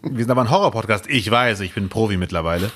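A man's voice: fairly low pitch, expressive, quick pace, fairly bright tone. A man co-hosting a podcast in casual chat, joking and self-mocking, often laughing between remarks. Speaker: podcast co-host.

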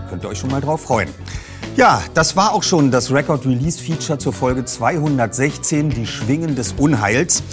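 A man presenting an audio drama preview in an informal, partly scripted style. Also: medium pitch. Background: guitar music.

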